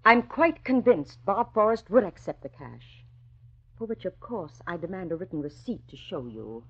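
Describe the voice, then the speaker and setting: Haughty, husky society matron. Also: female voice, medium pitch, sing-song, normal speed. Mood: smug, cutting. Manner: imperious, sarcastic, clipped. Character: haughty, domineering middle-aged society mother, cold and contemptuous. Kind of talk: vintage radio drama acting. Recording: muffled old radio recording.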